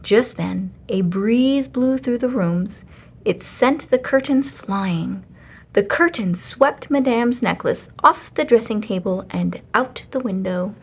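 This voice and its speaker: A woman reading a children's story aloud as an expressive storyteller. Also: fairly high pitch, slow pace, breathy edge.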